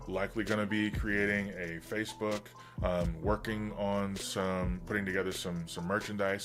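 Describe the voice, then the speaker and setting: A man hosting a livestream in casual, rambling, enthusiastic chat, his voice slightly hoarse from getting over being sick. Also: sits low. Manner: enthusiastic, informal geek talk. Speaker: livestream host.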